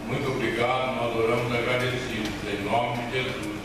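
A man's voice: medium pitch, little pitch movement, moderate pace. A man with a Brazilian accent leads a prayer in his own words. The sound is strongly echoing, from far off in a large hall, with noticeable noise. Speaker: church member leading prayer. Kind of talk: spontaneous spoken prayer. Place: large church hall, indoors. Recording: very echoey church hall, distant, noisy.

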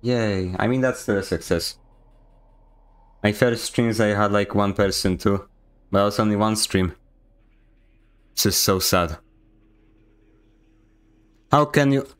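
A man's voice: lowish voice, slow, long pauses, clean tone. A young man from Poland with a Polish accent, giving joking, sarcastic commentary while streaming a game. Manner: joking, sarcastic, mock-indignant. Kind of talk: casual gameplay commentary.

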